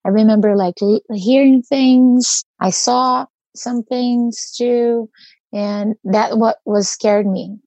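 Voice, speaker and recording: A woman's voice: fairly high pitch, fairly even intonation, takes it slowly, mellow, no echo. A woman with a Brazilian accent, speaking earnestly as an interview guest and searching for her words as she reflects.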